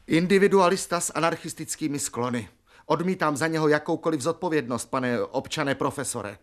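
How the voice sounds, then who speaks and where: Casting: male actor as pedantic committee chairman, self-righteous, fairly high voice, radio play. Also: a bit bright, lightly acted. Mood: indignant. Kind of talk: radio drama acting.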